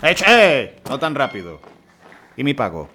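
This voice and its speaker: An actor playing a stubborn, gruff truck driver, blunt and swearing, in a radio drama. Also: male voice, medium pitch, wildly swooping pitch, unhurried, sharp tone, slight background noise, exaggerated voice.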